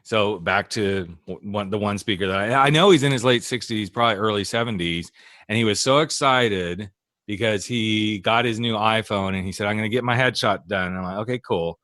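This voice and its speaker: Low-voiced male podcast host, dry sound. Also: fairly quick, fairly bright tone, no room sound. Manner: storytelling, acting out the other people in his stories. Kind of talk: casual interview chat.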